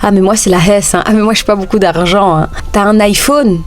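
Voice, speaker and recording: A woman's voice: mid-range voice, steady tone, a little fast. A woman in her thirties talking casually and playfully as a vlogger, sassy and joking, slipping between French and Dutch. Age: 30s. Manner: playful, sassy, jokes often. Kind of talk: casual chatty vlog.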